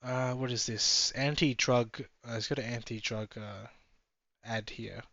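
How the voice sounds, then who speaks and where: A man's voice: medium pitch, fairly even intonation, measured pace, pushed and bright, dry recording. A man giving a casual, chatty running commentary from inside a moving car, musing aloud and drifting off topic. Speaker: travel vlogger. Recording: slightly dull, dry sound.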